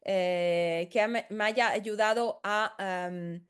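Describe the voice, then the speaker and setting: A woman's voice: medium pitch, steady tone, extremely slow, clean tone. A woman who is not a native Spanish speaker, a consultant explaining in a tutorial, hesitant with many fillers and restarts. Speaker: senior professional services consultant.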